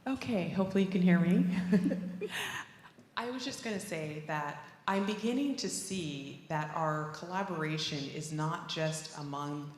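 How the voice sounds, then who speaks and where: Deep-voiced woman on a panel, chatty, echoey hall. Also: unhurried. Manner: conversational, lots of "you know" fillers. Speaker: conference panelist. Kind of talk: panel discussion answer. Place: conference room. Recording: roomy hall with faint noise.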